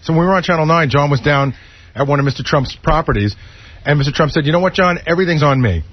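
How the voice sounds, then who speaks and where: A man's voice: a little high, fast talker, husky. A man on a radio show telling casual anecdotes in a teasing, insistent manner. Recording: dull lo-fi radio sound.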